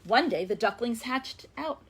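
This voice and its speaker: A woman reading a story aloud to young children as a librarian, expressive and emphatic, lingering on words. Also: fairly high pitch, a little slow, slight background noise.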